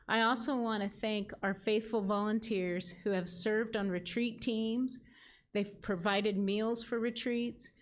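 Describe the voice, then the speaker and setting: A woman, a ministry leader, giving an earnest prepared speech at a fundraising gala. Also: mid-range voice. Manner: earnest, reading from a script.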